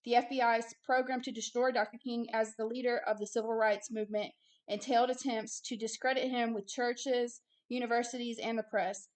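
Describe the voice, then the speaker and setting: A woman hosting a religious video, reading aloud and preaching with an emphatic, insistent manner and short repeated phrases. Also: a little high. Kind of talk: reading a book aloud with impassioned preaching commentary.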